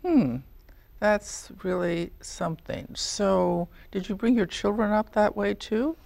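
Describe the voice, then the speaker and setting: A woman's voice: mid-range voice, slow pace, creaky, darkish tone. A woman hosting an interview show, asking reflective, curious questions. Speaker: talk show host. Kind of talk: interviewing a guest.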